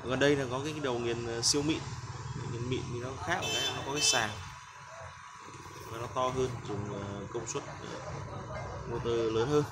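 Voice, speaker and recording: A man with a Northern Vietnamese accent giving an informal, halting hands-on product demonstration as a seller, often repeating words. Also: mid-range voice, fairly even intonation. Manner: halting, repeats words while handling parts. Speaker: machine seller demonstrating grinder parts. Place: hard concrete floor workspace. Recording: loud clanking metal noise, handheld phone. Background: metal parts clinking and clattering.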